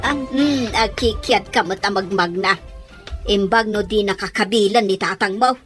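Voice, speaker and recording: A woman's voice: medium pitch, moderate pace, fairly bright tone, soft background music, close to an everyday voice. A woman playing a blunt, fussing middle-aged village matron in an audio drama. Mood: scolding.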